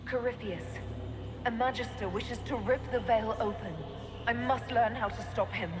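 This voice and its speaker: A woman's voice: on the high side, normal speed, natural voice. Woman as urgent heroine in a reverberant space. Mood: urgent, demanding. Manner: urgent, demanding. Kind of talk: dramatic video game acting. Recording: long echoing reverb under loud music. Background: loud music.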